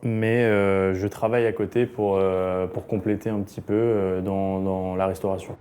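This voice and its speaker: A young man speaks in a low voice. He is earnest but halting, restarting his phrases often. The noisy traffic of a city street runs clearly behind him. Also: flat delivery, dark tone. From France. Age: 20s. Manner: earnest, hesitant, with many fillers and restarts. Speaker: activist. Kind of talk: interview and conversation.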